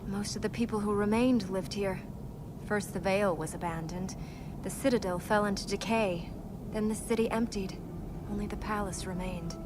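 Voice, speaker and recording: An actress playing an earnest, thoughtful young princess in a video game, speaking seriously and with measured care. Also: female voice, medium pitch, fairly even intonation, lightly acted. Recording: clear background noise.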